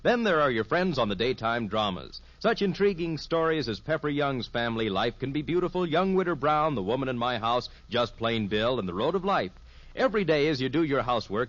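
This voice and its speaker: A man's voice: medium pitch, fairly bright tone, no room sound. A man with an American accent announcing in a scripted 1950s radio style, polished and emphatic. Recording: dull vintage radio recording. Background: music.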